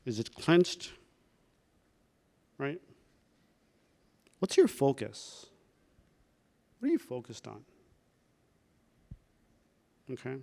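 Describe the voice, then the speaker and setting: A man, a doctor leading a workshop, guiding a reflection exercise with slow, calm prompting questions. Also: mid-range voice, gravelly.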